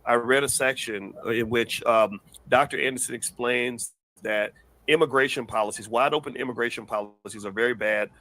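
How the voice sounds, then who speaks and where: A man hosting a news commentary show. He talks in an animated, rambling way and often repeats words. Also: mid-range voice, moderate pace, low-quality recording. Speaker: host.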